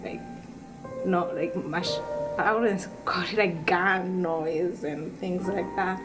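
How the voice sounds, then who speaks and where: A young woman from Rwanda telling her life story in an interview, soft-spoken and halting, often restarting her sentences. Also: on the low side, steady tone. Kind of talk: personal testimony interview. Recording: music bed underneath.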